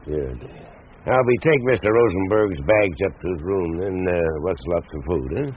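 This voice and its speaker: Folksy older American rancher, husky, low, swinging intonation, thin phone-like radio sound. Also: male voice, animated, a little slow, exaggerated voice. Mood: welcoming. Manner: hearty, hospitable, folksy. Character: hearty older Western rancher and mine owner. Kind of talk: 1980s radio drama acting.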